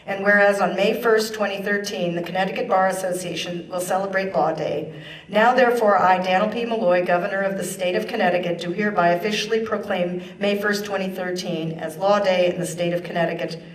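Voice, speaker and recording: A woman speaking formally and in a measured way as an official at a ceremony. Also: medium pitch, steady tone. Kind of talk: formal ceremony speech and proclamation reading. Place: large echoey indoor ceremony room. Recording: echoey room, distant microphone.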